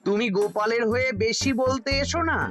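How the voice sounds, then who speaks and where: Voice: mid-range voice, steady tone, a little fast, a bit bright, lightly acted. An actor voicing a scheming royal minister in a cartoon, sneering and dismissive. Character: scheming, spiteful royal minister, a grown man. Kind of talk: cartoon villain acting.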